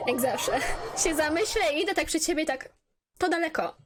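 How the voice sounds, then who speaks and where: A woman's voice: high-pitched, lively intonation, normal speed, noisy background. A Polish woman doing casual let's play commentary, muttering asides to herself as she plays. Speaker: gaming YouTuber. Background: game music.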